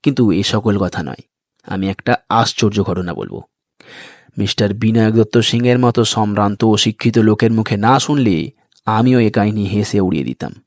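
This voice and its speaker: A man's voice: lowish voice, normal speed, dry recording, clean studio sound. A man hosting and reading an audio story in a scripted narration style. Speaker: story reader and host. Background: music.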